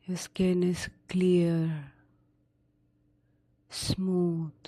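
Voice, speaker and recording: A woman narrating a guided sleep meditation in a soothing, unhurried manner with long pauses between short instructions. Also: on the low side, level tone, dark tone.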